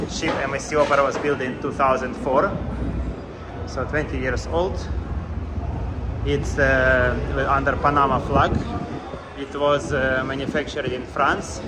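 A man giving a casual walking tour of a cruise ship on a handheld phone, speaking simple, halting English with fillers. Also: medium pitch, steady tone. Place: outdoor decks and indoor restaurants of a cruise ship. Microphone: handheld phone camera. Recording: loud wind and ship noise. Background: loud wind and ship ambience.

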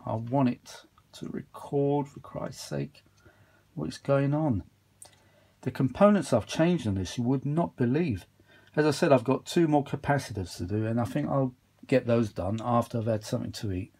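A tired man with a husky voice, chatting casually and a little exasperatedly in a rambling hobby repair vlog, recorded indoors on a handheld camera. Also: mid-range voice, normal speed, mellow. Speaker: vintage audio hobbyist vlogger. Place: indoors, small home workshop. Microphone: handheld phone or camera behind the lens.

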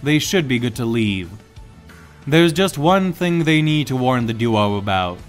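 A man's voice: mid-range voice, animated, measured pace, faint music behind. A man reading scripted, dramatic story narration as the narrator.

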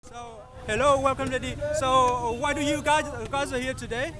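A man's voice: very high-pitched, fairly even intonation, normal speed. A man interviewing protesters in broken English, often murmuring agreement. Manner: broken English, constant backchannel "mm-hmm". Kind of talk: street interview. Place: outdoor street protest. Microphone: handheld camera. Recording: crowd noise outdoors.

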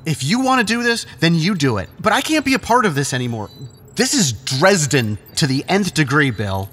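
An actor playing an anguished, defiant brother and reluctant accomplice in an audio drama, pleading and emphatic. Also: male voice, fairly high pitch, big pitch swings, moderate pace, fairly bright tone, breathy edge, full character voice. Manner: pleading, emphatic, stammering when upset. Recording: long cavernous reverb.

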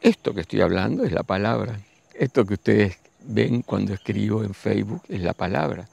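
A man, giving a slow, musing spiritual monologue and speaking straight to his listeners. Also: lowish voice, animated, vocal fry, a little mellow, breathy edge, no room sound. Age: 60s. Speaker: spiritual teacher.

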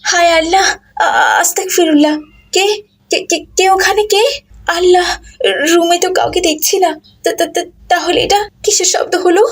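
A woman's voice: extremely high pitch, fairly even intonation, measured pace, a little hiss or hum, pitched above the performer's own voice. A woman voicing a frightened young female hostel student in a cartoon, stammering in panic.

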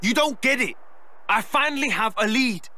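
Brash, high-voiced young hothead. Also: male voice, lively intonation, moderate pace, bright, forceful tone, full character voice. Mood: urgent, defiant. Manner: brash, urgent. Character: hot-headed young man, protective older brother, impulsive. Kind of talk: video game cutscene acting.

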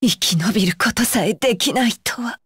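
A woman's voice: a little high, brisk, fairly bright tone, breathy edge, no room sound, studio quality. A woman playing a fierce, disdainful young female shinobi with a curt, commanding manner, in anime video game battle lines. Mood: bitter, defeated.

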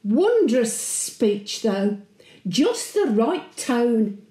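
An actress playing a chatty, sentimental Birmingham widow of over a hundred in a homely, confiding monologue. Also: female voice, a little high, dark tone, roomy sound. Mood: fond, chatty. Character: 101-year-old widowed Brummie great-grandmother, chatty, sentimental, plain-spoken. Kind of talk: dramatic monologue, intimate storytelling.

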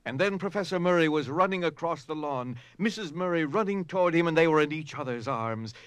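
A man's voice: a little high, animated, brisk. A man narrating an audio drama from a script in a dramatic storytelling style. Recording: noisy ambient sound behind.

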